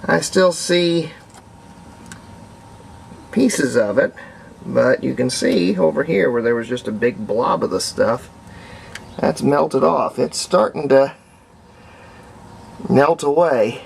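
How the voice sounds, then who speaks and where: A man giving a casual hands-on demonstration, unhurried and folksy, thinking aloud as he works. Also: a little high, lively intonation, vocal fry, slight background noise. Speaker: hobbyist restorer and video host.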